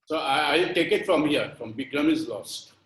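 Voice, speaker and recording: Emphatic chef in an echoey room. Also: male voice, fairly high pitch, expressive, moderate pace, fairly bright tone. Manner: emphatic, repeats his points. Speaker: chef panelist. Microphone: video call. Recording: echoey room on a video call.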